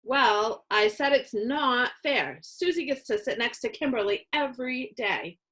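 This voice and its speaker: A woman's voice: a little high, unhurried, a bit bright, voice pushed up. A woman voicing a whiny, stubborn second-grade boy in a petulant, complaining way for a storybook. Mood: indignant.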